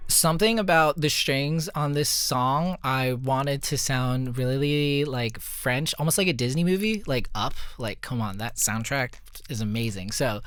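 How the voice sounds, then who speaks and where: A man's voice: mid-range voice, smooth, no room sound. A man talking casually and informally, as a musician walking listeners through a recording. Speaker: musician breaking down own recorded song. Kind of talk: casual behind-the-music walkthrough.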